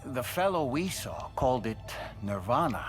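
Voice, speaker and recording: A man's voice: medium pitch, very animated, normal speed, faint music behind, lightly acted. A man acting as a brooding, reflective and uneasy character in a video game cutscene.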